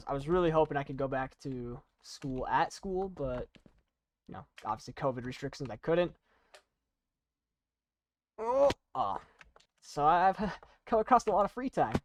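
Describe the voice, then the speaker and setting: Young adult, casual gaming commentary, medium pitch, rambling, halting, self-deprecating, background noise. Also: sing-song. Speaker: YouTuber playing and commentating on a game.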